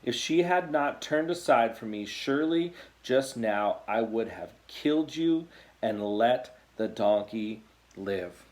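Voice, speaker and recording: A man's voice: mid-range voice, expressive, slow pace, a little mellow, voice pushed down. Guy reading as a stern bible angel, solemn formal rebuke.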